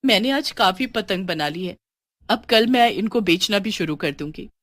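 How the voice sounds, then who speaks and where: A woman voicing a kind, hard-working young woman devoted to her family, with an earnest, expressive storybook delivery. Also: mid-range voice, normal speed, no echo, clean studio sound, natural voice. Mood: hopeful. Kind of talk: dramatised storybook dialogue.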